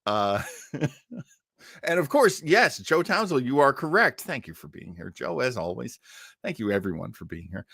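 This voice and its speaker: A man hosts a casual livestream in a mid-pitched voice with very little room sound. He rambles through anecdotes and asides, halting and restarting, and sounds amused by his own material. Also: big pitch swings, vocal fry, sharp tone, breathy edge, no room sound, studio quality. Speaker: livestream show host. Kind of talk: casual livestream chat, storytelling.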